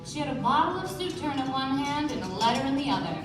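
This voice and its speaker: A woman's voice: sits high, big pitch swings, fast. A woman acting a poised young socialite who narrates dramatically in a live radio play. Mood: dramatic storytelling. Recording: echoey stage, distant mic, music behind.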